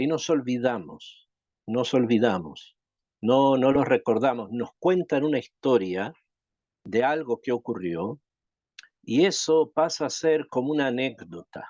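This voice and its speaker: A man from Chile, a rabbi teaching a Torah class, reflective and thoughtful, asking rhetorical questions and pausing to think. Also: medium pitch, darkish tone, no echo, muffled recording. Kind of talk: Torah lesson.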